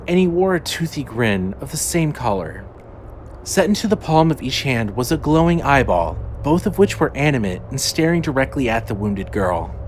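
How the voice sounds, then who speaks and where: A man's voice: medium pitch, a little hiss or hum. A man reading a scripted audio drama as its narrator. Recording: music under the voice. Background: clearly audible music.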